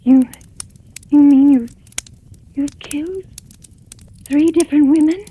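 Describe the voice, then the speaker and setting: A timid, genteel woman living in fear in a vintage radio thriller. Her voice is high, with hesitant, faltering and pleading delivery. Faint noise runs through the old recording. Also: takes it slowly, warm tone. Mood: disbelieving, uneasy. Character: frightened, timid, genteel woman trapped by a madman. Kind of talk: old-time radio drama acting.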